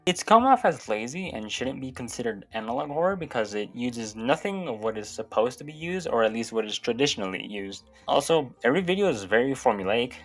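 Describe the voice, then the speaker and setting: A man's voice: medium pitch, big pitch swings, brisk, few pauses, fairly bright tone. A man giving casual, opinionated video commentary in an informal, sweary way. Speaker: YouTube commentator. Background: background music.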